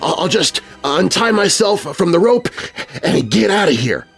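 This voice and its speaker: A curious, easily scared boy, played by a male narrator in a children's spooky storybook, his pitch jumping high in breathless, panicky bursts. The delivery swings widely over a soft music bed. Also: sing-song, normal speed, gravelly, husky, heavily acted. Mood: anxious. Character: frightened, curious young boy exploring a haunted cave.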